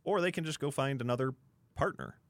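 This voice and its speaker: A man's voice: medium pitch, fairly quick, dry recording. A man on a sports talk panel, speaking off the cuff and reasoning aloud in a conversational way. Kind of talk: unscripted sports discussion.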